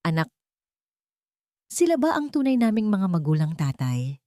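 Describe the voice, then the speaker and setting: A woman's voice: mid-range voice, very animated, moderate pace, mellow, no echo, studio quality, lightly acted. A woman voicing a confused, hurting young child in an audio story, asking in a plaintive way. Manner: plaintive, questioning.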